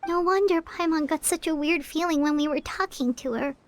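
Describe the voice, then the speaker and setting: A tiny, excitable fairy companion chirps in a very high, squeaky voice. She refers to herself in the third person and sounds chirpy and eager. It is video game voice acting with a bright cartoonish edge. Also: brisk. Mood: curious.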